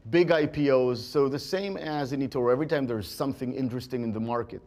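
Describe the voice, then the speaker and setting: A man, a company executive giving market commentary on an earnings call, speaking in halting phrases. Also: medium pitch, a little mellow.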